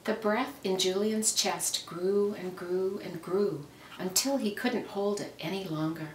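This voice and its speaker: A woman reading a children's story aloud with careful, expressive, dramatic phrasing. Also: mid-range voice, unhurried. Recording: roomy, noisy, distant microphone.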